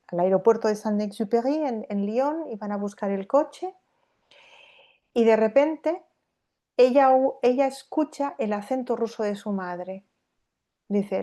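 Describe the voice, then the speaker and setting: Woman lecturer, medium voice, reflective and halting, many pauses. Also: mid-range voice, darkish tone. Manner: thoughtful, hesitant, with many fillers and tag questions. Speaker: university lecturer. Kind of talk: academic talk with read-aloud quotations. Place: lecture hall at a public talk.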